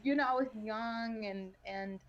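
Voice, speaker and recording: A young woman from El Salvador, a podcast guest telling her story in a relaxed way full of "like" and "um". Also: a little high, a little slow, lo-fi sound. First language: Spanish. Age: young adult. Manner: relaxed, many fillers like "like" and "um". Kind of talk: casual conversational storytelling. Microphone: video call.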